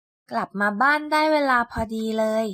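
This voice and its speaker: A woman playing a caring, gently firm mother duck in a children's storybook, coaxing and motherly in manner. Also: on the high side, moderate pace. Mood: welcoming.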